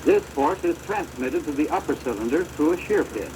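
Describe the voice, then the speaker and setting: An American man giving formal, measured instructional narration for a training film, on a deteriorated old projector soundtrack. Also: fairly high pitch, lively intonation, noisy background.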